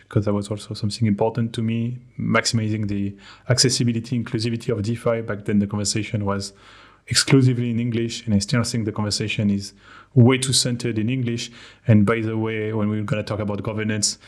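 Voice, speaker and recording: A man from France with a French accent, a guest on a podcast, talking candidly with a self-deprecating humor. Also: on the low side. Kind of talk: casual podcast interview.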